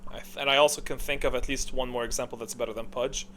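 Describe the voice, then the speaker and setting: A man's voice: medium pitch, steady tone, quick pace, fairly bright tone, slight background noise. A man giving casual, opinionated tier-list commentary as a gaming video creator, musing aloud and questioning himself as he goes.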